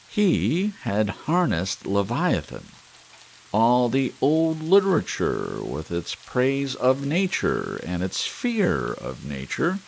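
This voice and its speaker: A man reading an audiobook in an ASMR style, deliberately and with expressive phrasing, in a deep, gravelly voice. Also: slight background noise, low-quality recording. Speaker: audiobook narrator. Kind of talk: scripted ASMR audiobook narration. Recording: faint rain sounds behind voice. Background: steady soft rain.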